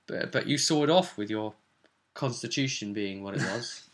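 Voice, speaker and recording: A man's voice: medium pitch, animated, normal speed, a bit bright. A man giving a casual, rambling recap of a tabletop role-playing session as the game master.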